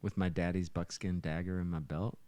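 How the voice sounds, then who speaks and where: A man with a very low voice talks casually as a musician. The recording is close and dry, like a living room podcast taped right at the mic. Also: steady tone, a little fast, a little mellow, no room sound. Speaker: musician and songwriter guest. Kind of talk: casual storytelling chat. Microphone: close podcast microphone.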